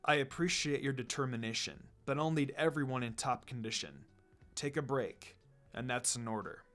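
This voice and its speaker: A stern, protective ninja leader, voiced by a man reading a story gives measured commands. The voice sits low and controlled, with music behind it. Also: a bit bright, lightly acted.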